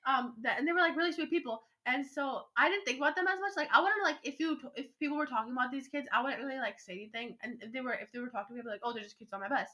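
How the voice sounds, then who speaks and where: A woman's voice: high-pitched, very fast, hardly any pauses, sharp tone. A young teenage girl podcast co-host telling stories in casual chat, with a giggly, rambling, gushing manner.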